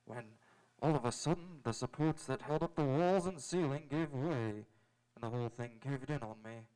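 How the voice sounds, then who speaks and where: A man acting as a young space adventurer from a vintage radio serial. His voice has a middling pitch. He speaks politely and sincerely, in measured phrases, like an earnest hero. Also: a little mellow, clear, resonant tone, natural voice, poor audio. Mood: dazed, recounting. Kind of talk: old-time radio drama acting. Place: live on an auditorium stage.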